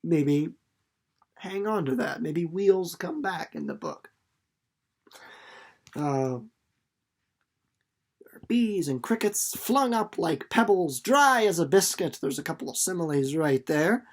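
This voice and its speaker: A woman's voice: fairly low pitch, expressive, slow, long pauses. A woman reading and informally discussing a children's novel, like a teacher reading aloud. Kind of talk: storybook narration and informal commentary.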